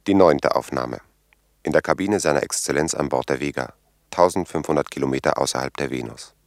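An actor playing a precise, officious secretary who reports on a diplomatic mission in a detached way in a 1950s radio play. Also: male voice, a bass voice, fairly quick, vocal fry, dry recording, studio quality. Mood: matter-of-fact. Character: dry, correct secretary recording a diplomatic mission.

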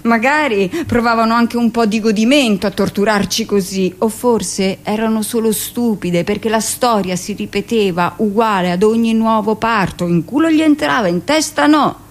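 An actress playing a hardened middle-aged midwife who confesses and justifies herself in an insistent, expressive radio monologue. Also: female voice, on the high side, moderate pace, hardly any pauses, natural voice. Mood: indignant, aggrieved.

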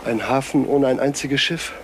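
A man's voice: medium pitch, fairly even intonation, moderate pace, close to an everyday voice. Guy doing a suspicious stubborn explorer, old german radio drama, normal mid voice, short probing lines, slight background noise. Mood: wary, puzzled. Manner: defiant, probing, terse. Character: stubborn, suspicious male explorer alone on a deserted island.

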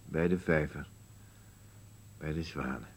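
A man's voice: rumbling low, slight background noise. A man playing a bleak, stubborn old husband in an absurdist radio play, answering tersely and with resignation. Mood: resigned, grim. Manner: terse, flat denials, slow resigned answers.

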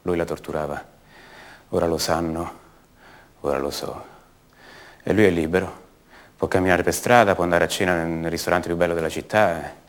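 An actor playing an anguished young son of a disappeared mother in a radio drama monologue, halting and repeating words with intensity. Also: low voice, fairly even intonation, lightly acted. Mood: subdued, bitter. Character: anguished young son of a disappeared woman, raised by her captors.

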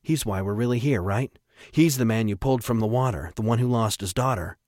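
A man voicing a steady, kindly, seasoned sea captain in an audiobook, plain-spoken and reassuring. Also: medium pitch, brisk, no room sound, studio quality, natural voice. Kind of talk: audiobook character acting.

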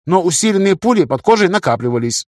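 Man voicing a chatty young guy, higher-pitched, husky-free storytelling. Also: very animated, fast, darkish tone, dry recording, clean studio sound. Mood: animated bragging. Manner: colloquial, ends phrases with a verbal tic. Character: chatty young man, boastful fighter recounting battles. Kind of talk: audiobook character dialogue.

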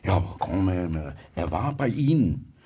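Lively low-voiced police commissioner. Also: male voice, moderate pace, breathy edge, exaggerated voice. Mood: brisk, probing. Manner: hesitant, colloquial, sprinkling French phrases into speech. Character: chain-smoking, affable but probing French police commissioner. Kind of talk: radio drama dialogue.

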